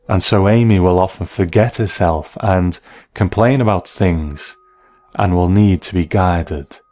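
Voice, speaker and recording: A man giving measured, analytical commentary as the host of a review of classic television. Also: deep voice, dark tone.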